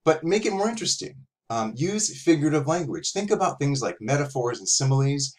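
A man's voice: fairly high pitch, sing-song, brisk. A middle-aged man giving a casual, conversational writing lesson as an author and teacher, recorded indoors at a computer. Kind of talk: casual instructional talk to young writers. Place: small room at home, indoors.

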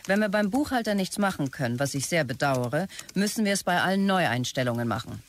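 Woman acting a brisk, bossy company boss. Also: on the low side, expressive, a little hiss or hum, natural voice. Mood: curt, commanding. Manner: brisk, commanding, clipped. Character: bossy, demanding company boss issuing curt orders to staff. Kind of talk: radio drama acting.

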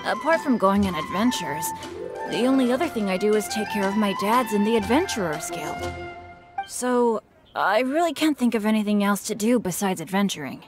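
Woman voicing an eager, bashful boy adventurer, very animated. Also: medium pitch, normal speed, close to an everyday voice. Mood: self-deprecating, hesitant. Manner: earnest, hesitant, bashful, easily excitable. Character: unlucky, eager, self-effacing teenage boy adventurer. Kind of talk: English video game character dialogue. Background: game music.

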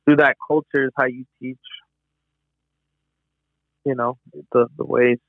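A man's voice: mid-range voice, little pitch movement, unhurried, dry recording. A young man hosting a podcast, talking casually and full of slang like "bro" and "hella". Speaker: podcast host. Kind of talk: casual conversation. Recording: tinny, dry phone-like line.

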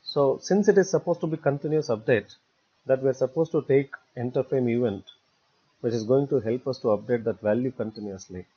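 A man narrating a step-by-step software tutorial in a halting way, repeating words as he goes. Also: medium pitch, slightly rough. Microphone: voice-over recorded for screen capture. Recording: dull, muffled lo-fi voice recording.

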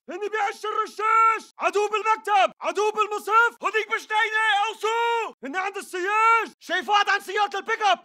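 Shouting militant fighter, Levantine accent. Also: male voice, very high voice, heavily acted. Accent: Levantine Arabic. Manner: urgent shouted short callouts. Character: male militant fighter urgently calling out enemy positions. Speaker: video game voice actor. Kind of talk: military shooter battle chatter.

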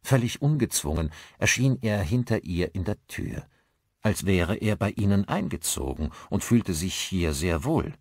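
A man's voice: low-pitched, sing-song, measured pace, darkish tone, husky, dry recording, studio quality. A man reading a scripted story as an audiobook narrator, in a dramatic style.

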